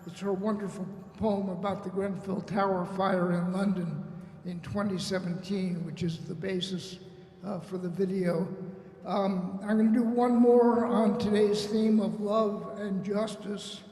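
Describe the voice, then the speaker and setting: A man reading and introducing poems live at a festival, speaking in a deliberate, declamatory way. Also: sits high, fairly even intonation, dark tone. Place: large reverberant room, live event at a microphone. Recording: echoey hall with long reverb.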